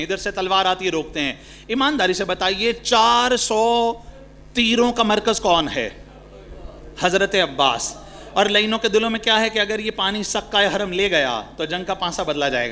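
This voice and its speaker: A man's voice: high voice, bright, forceful tone, slight background noise. A man giving an impassioned mourning sermon, often turning to his listeners with rhetorical questions. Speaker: religious mourning orator.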